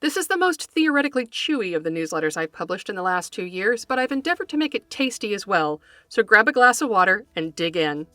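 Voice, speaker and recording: A woman podcast host reading scripted educational narration in a measured, articulate, scholarly manner, close to the microphone. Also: medium pitch, big pitch swings, fairly bright tone, dry recording. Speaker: podcast host, folklore scholar and fiction writer.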